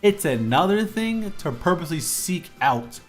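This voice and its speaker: A man's voice: sits high, sing-song, normal speed, faint music behind. A man in his twenties, a streamer, chatting casually and rambling with lots of fillers and restarts while he draws on a live stream. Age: 20s.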